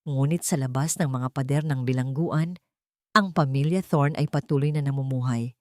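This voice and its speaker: A woman's voice: very deep voice, normal speed, darkish tone, no room sound, studio quality. A woman with a Filipino accent reading a scripted romantic drama as an audiobook narrator.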